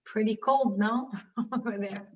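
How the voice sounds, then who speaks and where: A woman with a French accent chatting on a video call, talking quickly in halting, trailing phrases. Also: fairly high pitch, steady tone, a little mellow. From France. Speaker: class participant. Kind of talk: casual chat on a video call. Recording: dull lo-fi call audio.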